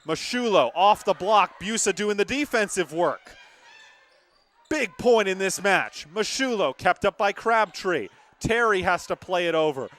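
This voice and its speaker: Young male play-by-play sportscaster, high register, dramatic delivery for live volleyball from a gym. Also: animated, a little slow, fairly bright tone. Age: young adult. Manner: emphatic, dramatic calls. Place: courtside in a gymnasium.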